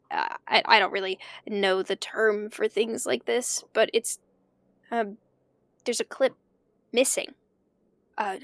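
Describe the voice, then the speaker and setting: A woman's voice: fairly high pitch, no echo. A woman playing an anxious, bewildered amateur investigator of old tapes, thinking aloud with hesitant stammers in a naturalistic audio drama.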